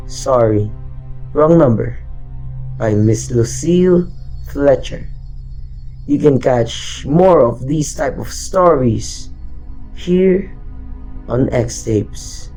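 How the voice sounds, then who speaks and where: A male narrator introduces suspense fiction with deliberate drama. He speaks slowly at a medium pitch, over faint music. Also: warm tone, low-quality recording. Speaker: narrator and host.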